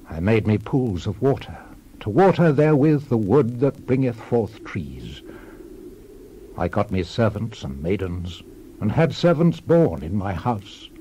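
A man playing a world-weary, reflective old king in a solemn, measured dramatized scripture reading, deliberate and letting his phrases fall away. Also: mid-range voice, dark tone, a little hiss or hum, rough recording. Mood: stately, reflective. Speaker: actor in a dramatized Bible reading.

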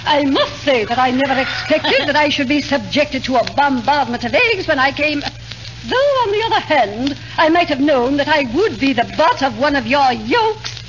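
A woman's voice: high voice, animated, normal speed. A woman playing a haughty, genteel society matron with affected, florid speech in a 1940s radio comedy. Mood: affronted. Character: pompous high-society matron, genteel and easily flustered. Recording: noisy, muffled 1940s radio recording.

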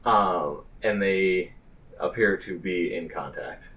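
Guy running a tabletop rpg, slow halting talk, bit far from the mic. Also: deep voice, a bit bright, muffled recording. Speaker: game master. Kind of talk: narrating a tabletop game.

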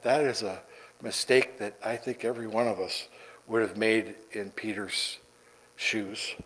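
A middle-aged man preaching a sermon from the pulpit in a church sanctuary, deliberate and repeating words for emphasis. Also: medium pitch, fairly bright tone, rough recording. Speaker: pastor. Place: church sanctuary, large indoor room.

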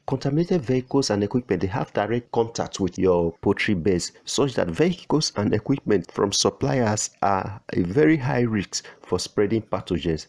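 A man, a poultry farmer, giving a slow and deliberate instructional voice-over, with pauses, fillers and restarts. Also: fairly low pitch, a little mellow.

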